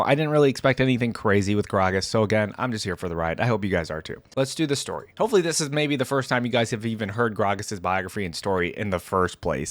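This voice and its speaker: A man's voice: medium pitch, dry recording. A man hosting a reaction video in a casual, informal, easygoing way, commenting and reading aloud into a close microphone.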